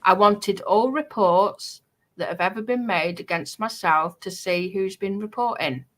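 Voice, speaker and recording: A woman's voice: mid-range voice, a little slow, a bit bright. A woman in an aggrieved, emphatic livestream talk, often repeating herself to press her point.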